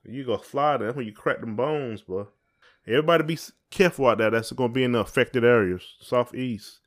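A man's voice: medium pitch, a little fast. A man with a Southern American accent and a middle-range voice. He co-hosts a casual podcast and talks in a folksy, playful way, rambling as he goes. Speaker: podcast co-host. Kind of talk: casual chat.

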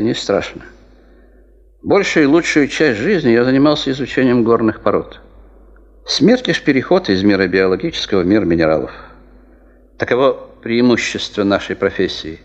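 An actor in a Soviet radio drama playing a gravely ill veteran geologist, a wry, philosophical man who talks in a measured, resigned way. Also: mid-range voice, expressive. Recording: muffled, long reverberant radio-play sound.

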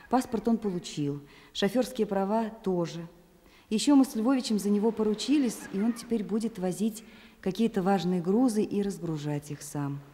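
Actress as a wistful woman, dark mellow voice, intimate letter monologue, long reverb, radio drama. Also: mid-range voice, close to an everyday voice. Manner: intimate, reflective letter reading. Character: wistful, candid actress writing to a man she loves. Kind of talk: Russian radio play monologue. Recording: long reverb, faint background noise.

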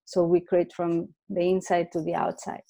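A woman's voice: lowish voice, little pitch movement, normal speed, creaky, dark tone, dry recording. A woman hosting an interview, reflective, checking for agreement with short tag questions. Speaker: host. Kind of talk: conversational interview.

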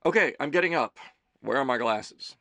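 A man's voice: medium pitch, animated, moderate pace, bright, forceful tone, no echo. A man voicing a groggy, frazzled man who lives alone and stammers and mutters, in an audiobook story.

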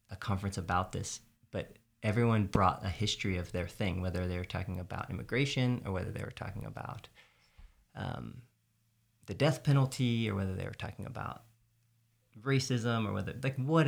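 A man's voice: lowish voice, vocal fry. A man from South Texas, a podcast host, talking reflectively in a casual conversation and searching for his words.

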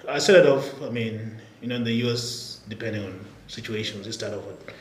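A man from Nairobi, Kenya, telling his story as a podcast guest in a relaxed, reflective way, laughing easily. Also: fairly low pitch, brisk, background noise. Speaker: podcast guest, startup executive. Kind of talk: casual interview storytelling. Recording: roomy sound with faint ambience.

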